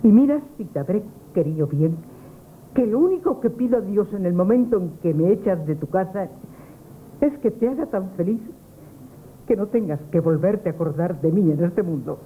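An actress playing a proud, devoted, spurned sweetheart, earnest and pleading, in a Spanish radio play. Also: female voice, medium pitch, lively intonation, normal speed, lightly acted. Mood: wounded, dignified. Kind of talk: Spanish radio theatre acting, 1970s. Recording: thin, tinny, noisy old radio broadcast.

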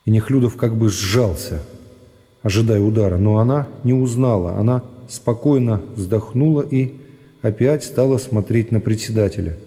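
A man's voice: fairly low pitch, moderate pace, warm tone, breathy edge. A man narrating a classic novel for a radio play in a dramatic literary style.

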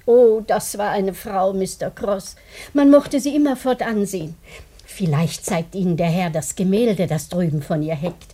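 An actress playing a talkative, devoted and sentimental housekeeper in a German radio drama, chatty and emotive. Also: female voice, medium pitch, a little slow, dark tone, natural voice.

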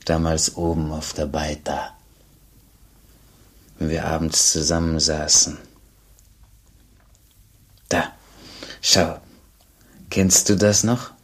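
An actor in a German radio play, a man playing a plain-spoken, warm-hearted aging village man who was once a robber, with a husky voice. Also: very deep voice, flat delivery, slow, long pauses, full character voice. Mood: fond, nostalgic.

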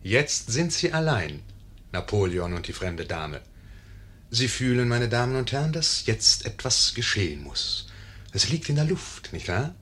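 Husky, lively wry storyteller man. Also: mid-range voice, a little slow, full character voice. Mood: wry, confiding. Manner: wry, confiding. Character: wry storyteller commenting on the play. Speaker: narrator addressing the audience. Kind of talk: 1950s German radio play narration.

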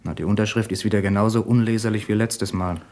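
An actor playing a deferential, chatty police assistant in a 1970s radio crime drama, matter-of-fact and good-humoured. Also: male voice, on the low side, fairly even intonation, quick pace, a little mellow, natural voice. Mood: reading aloud.

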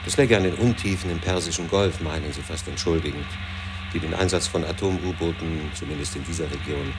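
A man's voice: deep voice, a little fast, music in the background. A man delivering scripted narration for a radio feature.

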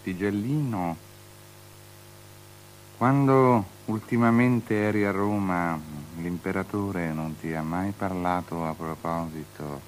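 An actor playing a capricious, lustful, superstitious tetrarch in avant-garde radio theatre, declaiming and lurching between outbursts and breathy murmurs. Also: male voice, low voice, fairly even intonation, takes it slowly. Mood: brooding. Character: capricious, lustful, superstitious male tetrarch, unstable and self-pitying. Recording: noisy, humming old radio drama recording.

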